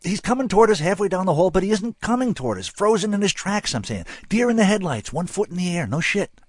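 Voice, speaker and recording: A man voicing an excitable young male student in an audiobook, flustered, rambling and groping for words. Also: high-pitched, quick pace, husky, no room sound, studio quality, pitched above the performer's own voice, exaggerated voice. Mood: excited babbling. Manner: rambling, breathless emphasis, gropes for words.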